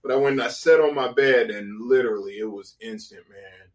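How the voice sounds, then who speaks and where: A man's voice: medium pitch, fairly quick. A man, a podcast guest, telling a story in casual chat, acting out his own reactions and swearing freely. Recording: voice a bit distant from the mic.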